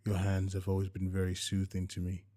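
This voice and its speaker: A man's voice: low-pitched, monotone, fairly quick, dark tone, natural voice. A man voicing a playful, affectionate middle-aged wife who teases, in a narrated story.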